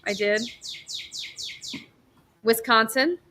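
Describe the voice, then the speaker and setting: Rambling excitable lady doc from upstate new york, kinda high, bright sound, over a video call. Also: extremely slow, a little hiss or hum. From New York state, USA. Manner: chatty, rambling, excitable, swears casually. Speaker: physician hosting a telehealth livestream. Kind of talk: casual livestream chat.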